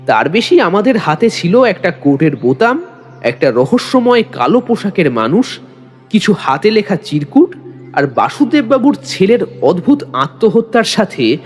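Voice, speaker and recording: A man's voice: sits high, husky, full character voice. A man playing a detective's loyal companion, narrating the mystery as a suspenseful, dramatic audio story. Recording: long reverb, music bed.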